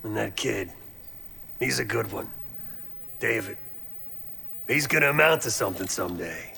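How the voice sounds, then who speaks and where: An actor playing a gruff, weary veteran soldier in a video game, blunt and casual, loyal underneath. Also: male voice, fairly low pitch, expressive, normal speed, slight background noise, exaggerated voice. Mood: gruff, reflective. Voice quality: gravelly, forceful. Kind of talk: naturalistic video game acting.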